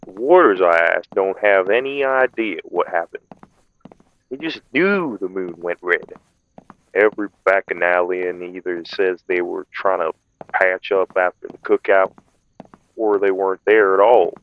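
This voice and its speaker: A slightly muffled recording carries a man playing a vampire hunter in an audio drama. His voice is deep and matter-of-fact. He sounds businesslike, worn down and frustrated as he reports. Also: a little slow. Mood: weary, resigned. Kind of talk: audio roleplay acting.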